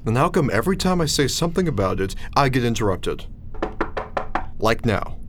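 A man's voice: mid-range voice, sing-song, normal speed, background noise, natural voice. A young man playing an anxious, stubborn student in an audio drama. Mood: worried. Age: young adult. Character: anxious, stubborn male student nobody believes.

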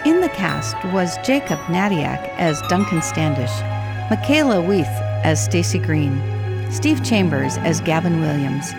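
Low, animated woman radio announcer. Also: unhurried. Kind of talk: scripted radio drama credits announcement. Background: music.

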